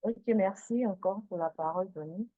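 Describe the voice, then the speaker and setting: A woman speaking as a consultant on a panel, formal and deliberate. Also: medium pitch, no echo, phone-quality sound. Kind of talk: panel discussion.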